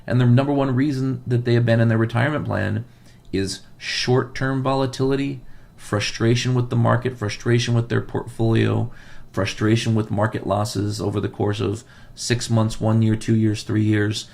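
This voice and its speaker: A man's voice: mid-range voice, little pitch movement, normal speed, vocal fry, darkish tone. A man hosting a financial advice podcast, losing his voice, who talks earnestly and repeats key points for emphasis. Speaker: financial advisor and podcast host.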